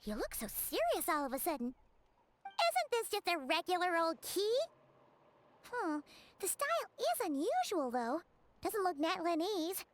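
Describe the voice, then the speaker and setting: A tiny floating game sprite with a very high voice. Her chatty, curious lines bounce up and down constantly, and she refers to herself by name. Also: sing-song, a little slow, slight background noise, full character voice. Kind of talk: game voice acting.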